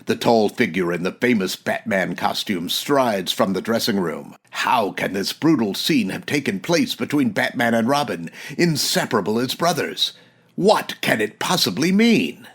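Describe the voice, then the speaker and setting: A man's voice: lowish voice, animated, normal speed, fairly bright tone, breathy edge, exaggerated voice. A man playing a grave announcer, narrating dramatically in the manner of a 1940s radio serial. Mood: grave, suspenseful.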